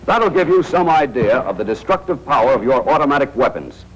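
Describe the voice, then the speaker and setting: A man's voice: medium pitch, sing-song, fairly quick, lo-fi sound. An American man narrating a 1940s military training film in a tough, wry, slangy manner, speaking directly to soldiers. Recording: noisy 1940s film soundtrack.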